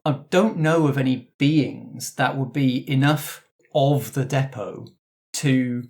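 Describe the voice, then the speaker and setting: A man's voice: medium pitch, takes it slowly, a little mellow. Protective, plain-spoken train conductor acted by a man, colloquial and chatty, fantasy tabletop game. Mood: chatty, unsure. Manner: chatty, colloquial, hesitant, repeating himself.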